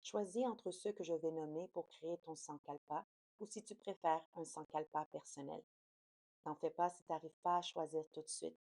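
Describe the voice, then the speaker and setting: A woman guiding a yoga nidra relaxation in a soothing, slow, unhurried manner. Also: deep voice, darkish tone, dry recording, low-quality recording. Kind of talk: guided relaxation meditation.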